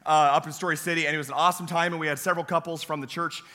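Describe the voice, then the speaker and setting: A young man, a campus pastor preaching a sermon in a church with a hoarse, weakened voice, telling folksy, self-deprecating stories. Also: fairly high pitch, steady tone, rapid-fire, sharp tone. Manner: folksy, self-deprecating storytelling with many uh fillers. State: hoarse, voice weaker than usual. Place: church sanctuary.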